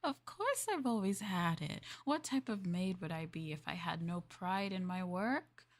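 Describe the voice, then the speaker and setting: A woman's voice: on the low side, animated, a little slow. A woman streamer playing a maid in a roleplay, chatting with viewers in a playful, teasing and politely formal way.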